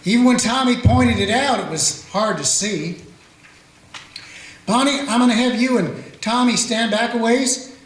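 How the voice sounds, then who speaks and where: Frontier sheriff, played by a man, calm folksy narrator, high-ish voice, roomy echo. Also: a little slow. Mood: steady. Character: small-town Old West sheriff, steady and dry. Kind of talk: radio play acting. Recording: echoey room.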